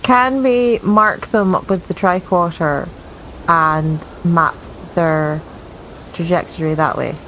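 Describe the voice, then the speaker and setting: A woman's voice: on the low side, slow, a bit bright, slight background noise, low-quality recording. A woman playing in a tabletop game, talking casually and cautiously with the group. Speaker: player.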